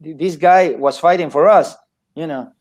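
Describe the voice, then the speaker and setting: An older man from Argentina, talking as a guest author on a video call, halting and restarting often with small chuckles. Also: fairly high pitch, darkish tone. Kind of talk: casual chat on a video call.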